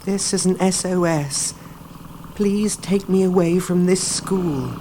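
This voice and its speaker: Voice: mid-range voice, slow pace, warm tone, slight background noise, natural voice. A performer playing a precocious, stubborn young schoolboy in a period radio drama, with prim diction and an English accent. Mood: miserable.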